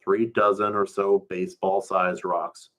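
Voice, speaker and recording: A man's voice: low-pitched, level tone, a little slow, a bit bright. A man reading a first-person story aloud in a colloquial, storytelling way.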